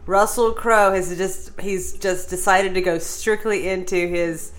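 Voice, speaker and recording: A woman's voice: medium pitch, steady tone, unhurried, low-quality recording. A woman co-hosting a podcast in casual, humorous chat, wry and theatrically emphatic. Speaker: podcast co-host.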